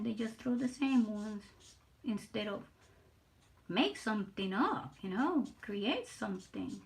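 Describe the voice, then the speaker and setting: An older woman from Puerto Rico with a Spanish and Southern US accent, chatting on her livestream while drawing, nervous, halting and repeating words. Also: a little high, poor audio. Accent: Puerto Rican Spanish mixed with Southern US. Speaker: livestream artist. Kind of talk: casual live stream chat while drawing.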